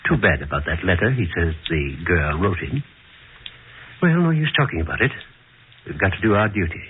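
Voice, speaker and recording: A kindly, dutiful prison warden with a lowish, very expressive voice. He speaks in an avuncular, matter-of-fact way in old radio drama style. The broadcast sound is narrow and faintly noisy. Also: normal speed. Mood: resigned sympathy. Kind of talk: 1940s radio drama acting. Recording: noisy, narrow-band 1940s radio recording.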